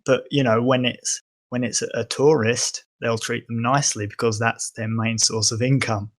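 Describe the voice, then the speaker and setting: A man's voice: medium pitch, fairly even intonation, normal speed. A young man from England, a podcast guest, talking casually and hesitantly with many ums and restarts. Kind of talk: casual conversation.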